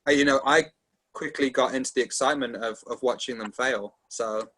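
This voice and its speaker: A man's voice: mid-range voice, fairly quick, fairly bright tone. A man taking part in a casual feedback discussion, offering notes in a tentative, polite way. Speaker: table read participant giving notes.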